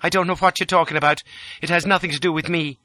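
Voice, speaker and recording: A man's voice: on the high side, fairly even intonation, fast talker, bright, forceful tone, no room sound, voice pushed up. A man voicing a haughty, cold, imperious woman full of scorn, in a dramatic audiobook. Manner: imperious, indignant. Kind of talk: dramatic audiobook character acting.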